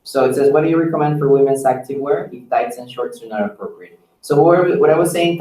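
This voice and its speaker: Man, call moderator, informal question-and-answer delivery, echoey room, distant microphone. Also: mid-range voice. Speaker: call moderator, staff member.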